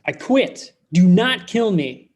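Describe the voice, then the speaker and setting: A man's voice: high voice, sing-song, slow, heavily acted. A man in his thirties playing a nervous, lovelorn office temp in a comedy table read, anxious and hesitant. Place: indoor room at home, video call. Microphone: video call, earbuds.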